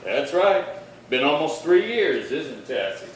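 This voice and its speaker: An actor playing a steady, watchful western marshal with a measured, firm delivery, in old-time radio drama style. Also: male voice, fairly high pitch. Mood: calm, firm. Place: little theatre stage, distant mic. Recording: very echoey hall, far from mic, faint noise.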